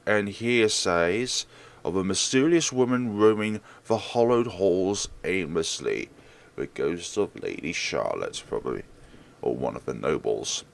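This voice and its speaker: A man hosting a game playthrough, switching between dramatic read-aloud narration and casual commentary. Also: on the low side. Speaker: let's play host.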